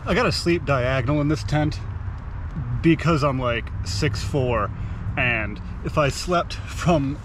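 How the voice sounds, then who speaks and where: A man's voice: on the high side, slow pace. Laid-back American man camping outdoors. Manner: relaxed and chatty, quiet to stay unnoticed. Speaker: camping vlogger. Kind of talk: casual vlog narration. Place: outdoors in a wooded lot. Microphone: action camera on a tripod nearby. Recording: outdoor camera audio with clear traffic noise.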